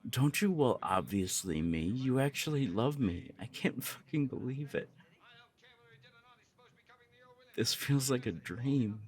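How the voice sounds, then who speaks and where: A man playing a lovesick, earnest young punk roommate in an intimate ASMR romance roleplay, speaking in a halting way. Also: medium pitch, lively intonation, a little mellow, slightly rough. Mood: flustered, amazed.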